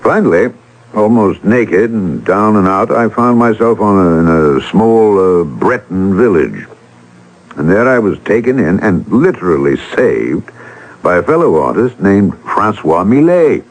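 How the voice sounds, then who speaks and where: A low-voiced, theatrical gentleman storyteller. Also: sing-song, exaggerated voice, poor audio. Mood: nostalgic. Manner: deliberate, theatrical, pausing for effect. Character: reflective older gentleman, once a starving artist, storytelling. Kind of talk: vintage radio drama acting.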